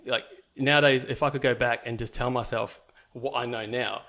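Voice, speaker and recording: A man's voice: medium pitch, fairly even intonation, normal speed, pushed and bright. A young man from New Zealand, a podcast guest, telling his life story in a casual, rambling way with many fillers and restarts.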